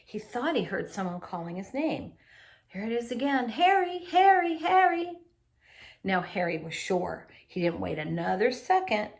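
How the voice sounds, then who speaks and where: A woman reading a children's picture book aloud in an animated, expressive way, adding little asides for young listeners. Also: mid-range voice, normal speed, gravelly.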